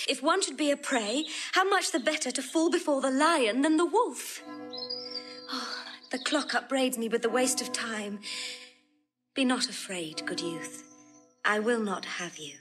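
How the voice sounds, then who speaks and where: An actress playing a proud, passionate, lovesick noblewoman in classical verse drama, with a breathy voice. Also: high voice. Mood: wistful, proud. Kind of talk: classical verse drama acting, recorded audio drama.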